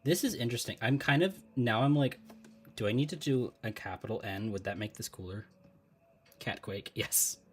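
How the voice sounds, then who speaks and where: A man talking casually on a live stream while he draws, thinking out loud, laughing often and chatting with viewers. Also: medium pitch, moderate pace.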